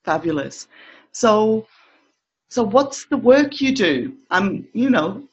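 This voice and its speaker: A woman's voice: mid-range voice, very animated, slow, husky, highs cut off. A woman hosting a business podcast, chatting casually with a guest in an upbeat way and laughing often. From not Australian, has lived in Australia. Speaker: podcast host and business coach. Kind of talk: casual interview chat.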